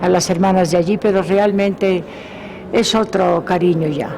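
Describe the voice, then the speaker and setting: A woman's voice: fairly low pitch, fairly even intonation, moderate pace, gravelly, crowd noise. A woman, giving personal testimony in an interview. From born in Spain, grew up in Mexico. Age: 70s.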